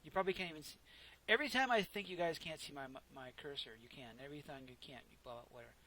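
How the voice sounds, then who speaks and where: Quiet, rambling man narrating gameplay. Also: on the high side, very fast, low-quality recording. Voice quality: soft-spoken, quiet voice. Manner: rambling, self-correcting, chatty. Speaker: gameplay commentator. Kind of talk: casual let's play commentary. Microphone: close desk microphone.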